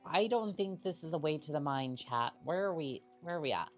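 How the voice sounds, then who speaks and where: A woman streaming a game and chatting with viewers, her voice strained and husky from a cough, talking in halting, rambling bursts. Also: low-pitched, animated, faint music behind. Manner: rambling, halting, many fillers and restarts. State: sick with a cough. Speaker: VTuber streamer. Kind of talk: casual live-stream chat while gaming.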